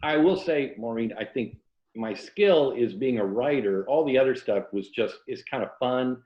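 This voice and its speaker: A man's voice: mid-range voice, animated, normal speed. Middle-aged or older man, roomy dull recording. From Tampa, Florida. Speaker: interview guest, writer. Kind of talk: casual conversational interview.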